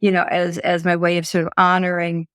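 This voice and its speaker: An older woman being interviewed, talking reflectively and haltingly, repeating phrases as she searches for words. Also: on the low side, monotone, fairly bright tone, smooth, no echo, clean studio sound. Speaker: interview guest, author and psychologist. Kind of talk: reflective personal conversation.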